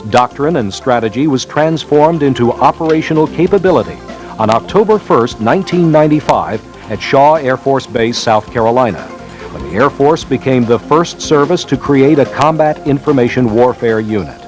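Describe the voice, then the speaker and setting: A man narrates a military training film in a formal, scripted documentary style, with measured, emphatic phrasing. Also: medium pitch, darkish tone. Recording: 1990s training film soundtrack. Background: background music.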